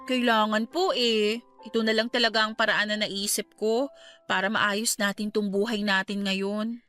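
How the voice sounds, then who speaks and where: A woman playing a caring, excitable young niece, polite and emphatic, in a radio drama. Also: medium pitch, moderate pace, faint music behind, natural voice. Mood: earnest. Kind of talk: Tagalog radio drama acting.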